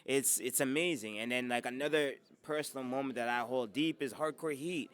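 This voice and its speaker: Male wrestler, energetic interviewee, bright tone, quick to laugh. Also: mid-range voice, smooth. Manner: enthusiastic, laughs often. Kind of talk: casual interview.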